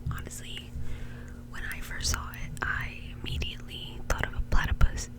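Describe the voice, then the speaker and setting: A woman telling a story in a soft, breathy ASMR whisper, in a casual, chatty way. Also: normal speed. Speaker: ASMR video host.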